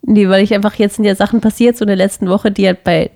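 A young woman co-hosting a casual podcast from her living room, laughing often and asking gentle questions. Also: medium pitch, steady tone, fast talker, gravelly. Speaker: podcast co-host. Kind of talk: casual couple podcast chat.

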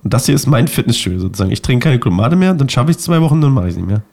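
A man in his thirties, sick and hoarse, chatting casually and joking as co-host of a podcast. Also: medium pitch, animated, racing, a little mellow. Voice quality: raspy, gravelly. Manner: joking, rambling. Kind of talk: casual chat.

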